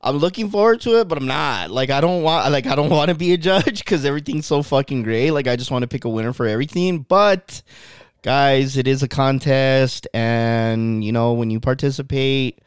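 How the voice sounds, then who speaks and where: A man's voice: mid-range voice, normal speed, smooth, no room sound, clean studio sound. A man co-hosting a podcast in casual chat, laid-back, swearing freely and laughing often. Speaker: podcast co-host.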